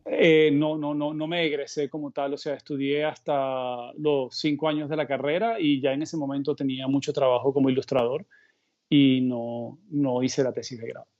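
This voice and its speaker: Relaxed Venezuelan man on dull audio. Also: medium pitch, normal speed. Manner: relaxed, meandering, thinks aloud mid-sentence. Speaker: guest illustrator. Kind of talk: casual conversational interview. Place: remote call from home abroad.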